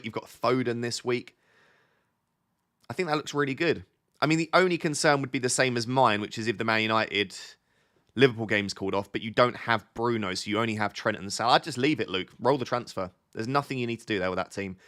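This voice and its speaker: A man's voice: medium pitch, fairly quick, fairly bright tone. Sniffly man hosting a live stream. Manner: chatty, thinks aloud, restarts sentences often. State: slightly sniffly with a cold. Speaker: livestream host answering viewer chat. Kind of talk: casual live-stream chat.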